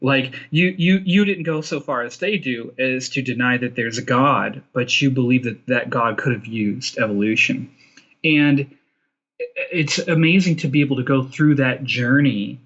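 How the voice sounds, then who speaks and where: A man's voice: medium pitch, moderate pace, a bit bright, muffled recording. A man talking as an interview guest in casual, opinionated conversation, emphatic and repeating phrases to drive points home. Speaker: interview guest, film director.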